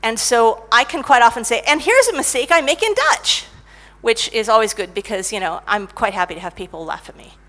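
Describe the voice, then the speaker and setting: A middle-aged woman giving a conference talk about technical writing, lively and self-mocking as she tells stories. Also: fairly high pitch, fairly quick. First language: English. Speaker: conference speaker, technical writer.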